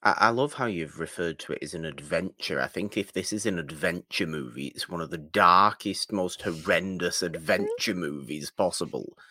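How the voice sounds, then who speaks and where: A man's voice: sits low, normal speed, few pauses, bright, forceful tone, dry recording, clean studio sound. A man co-hosting a film podcast, discussing movies casually in an emphatic, insistent way.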